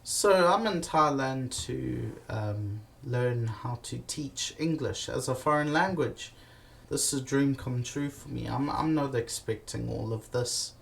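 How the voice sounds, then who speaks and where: A man telling comic stories about his travels, animated and profane, with many fillers and restarts. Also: mid-range voice, measured pace, vocal fry. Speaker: comedic storyteller.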